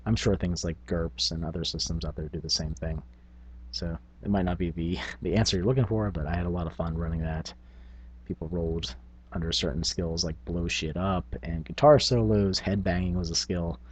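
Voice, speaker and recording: A man's voice: low voice, steady tone, moderate pace, husky. A man talking casually and at length as the host of a tabletop gaming video. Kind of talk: casual rambling talk.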